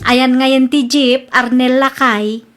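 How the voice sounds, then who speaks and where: A woman's voice: high-pitched, little pitch movement, takes it slowly, loud music behind the voice. A woman with an Ilocano accent playing the fretful, nagging wife of a jeepney driver in a comedy radio drama.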